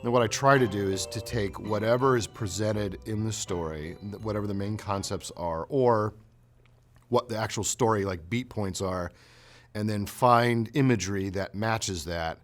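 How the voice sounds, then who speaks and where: A man speaking as a producer in an interview, explaining his craft with halting, rephrased sentences. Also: fairly low pitch, soft background music.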